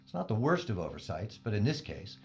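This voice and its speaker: Man acting as haughty, precise scientist, animated pitch. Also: fairly quick, gravelly. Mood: condescending. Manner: formal, condescending, precise. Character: haughty, exacting male scientist, prickly loner aboard spaceship. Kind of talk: audio drama acting. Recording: dull band-limited audio with faint music.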